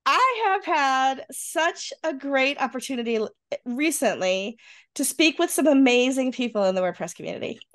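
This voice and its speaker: A woman's voice: high-pitched, normal speed. A middle-aged woman with a Rochester, New York accent, co-hosting a casual podcast and telling stories with lots of laughter. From Western New York. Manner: animated storyteller, laughs often. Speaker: podcast co-host. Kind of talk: casual chat.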